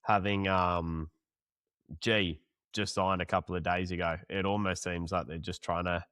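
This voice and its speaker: A man's voice: sits low, steady tone, measured pace, a bit bright, smooth, dry recording, clean studio sound. A male podcast host asking long, rambling questions in a casual interview.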